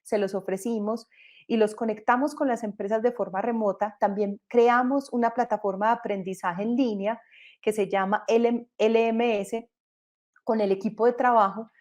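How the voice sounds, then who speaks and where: A woman's voice: fairly high pitch, fairly even intonation, normal speed, a little mellow. A Colombian woman in her thirties with a Colombian accent, a company co-founder giving an explanatory webinar presentation over a video call, with frequent hesitations and restarts. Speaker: company co-founder and panel speaker.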